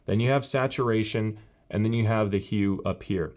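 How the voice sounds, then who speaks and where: A man giving a casual, explanatory walkthrough of a headset's software, with frequent fillers. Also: on the low side, normal speed. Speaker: tech reviewer. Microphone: gaming headset mic in later part.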